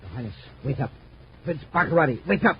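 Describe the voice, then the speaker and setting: A man's voice: medium pitch, very animated, quick pace, creaky, highs cut off. Servile villain's henchman, noisy old radio. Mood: urgent. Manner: obsequious, urgent. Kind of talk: 1950s radio serial acting.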